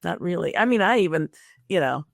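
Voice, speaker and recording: Creaky-voiced woman hosting an interview. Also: lowish voice, lively intonation, brisk, no echo, clean studio sound. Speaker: podcast host and career coach.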